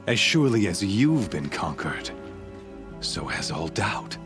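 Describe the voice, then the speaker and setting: A man playing a cruel, smug cult leader in a video game cutscene, speaking in a measured, menacing and grandiose way. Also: low-pitched, sing-song. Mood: cold menace. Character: cold, cruel male cult leader, smugly zealous villain. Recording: voice under background music.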